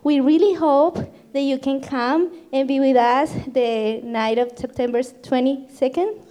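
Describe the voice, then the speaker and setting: A woman from Colombia with a Colombian Spanish accent, Spanish her first language, gently addressing a church congregation with frequent laughs. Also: sits high, measured pace. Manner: laughs often, shy and gentle. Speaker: pastor's wife, ministry leader. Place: church sanctuary.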